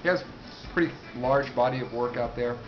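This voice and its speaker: A man giving a casual, unscripted book review, rambling and halting, with asides that trail off. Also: medium pitch. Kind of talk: casual, unscripted talk to camera. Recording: dull sound with music behind. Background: music.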